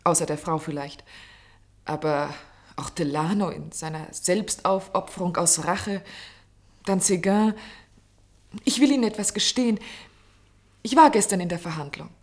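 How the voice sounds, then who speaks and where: A woman's voice: on the low side, moderate pace, pauses often, close to an everyday voice. A woman playing a determined, idealistic woman in a German radio drama, speaking earnestly, insistently and articulately. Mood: impassioned. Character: determined, idealistic woman pleading to save a condemned man.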